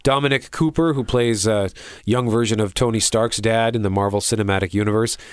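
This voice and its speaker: A man's voice: lowish voice, fairly quick, clean tone, no room sound. A man co-hosting a radio show in casual movie chat on a close studio mic. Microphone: close studio microphone.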